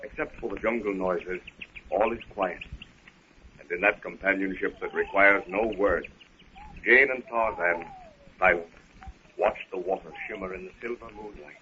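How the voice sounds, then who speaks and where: A man narrating a scripted radio drama in a measured, theatrical 1930s style. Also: deep voice. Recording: muffled, hissy 1930s radio recording.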